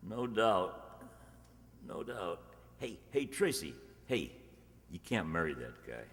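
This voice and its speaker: A man's voice: low voice, sing-song, slow, fairly bright tone, exaggerated voice. A man playing a tipsy, sardonic suitor in a radio play, stammering and repeating his words. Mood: tipsy, tender. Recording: echoey hall, long reverb.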